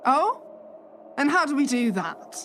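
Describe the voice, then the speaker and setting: A woman's voice: high-pitched, sing-song, a little slow, a bit bright, a little hiss or hum, heavily acted. A woman playing a skeptical, sardonic assistant hotel manager from London in a radio play, sometimes hesitant when flustered. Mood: dismissive, sarcastic. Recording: music behind the voice.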